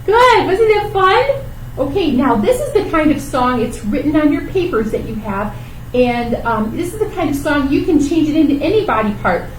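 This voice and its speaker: A woman's voice: sits high, lively intonation, moderate pace. A woman hosting a children's storytime, talking in simple, encouraging phrases as she reads and teaches rhymes. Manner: simple, encouraging talk addressed to small children. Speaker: library storytime host. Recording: roomy, distant microphone with background noise.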